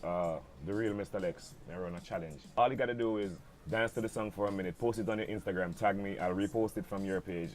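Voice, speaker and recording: A middle-aged Jamaican dancehall artist chatting in an interview, mixing patois with American slang. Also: male voice, sits low, a little mellow. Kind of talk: casual interview chat.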